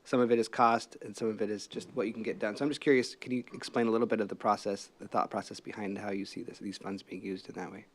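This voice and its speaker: An American man speaks as a council member in a public meeting. His voice is on the low side and stays very even in pitch. He moves quickly, circling his questions in a tentative, informal way.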